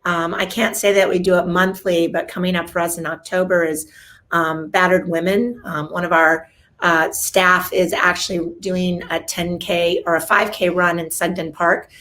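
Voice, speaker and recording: A woman hosting a casual interview show, enthusiastic and full of praise, repeating her words when excited. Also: on the low side, moderate pace. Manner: enthusiastic, gushing, repeats "love". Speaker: host, realtor.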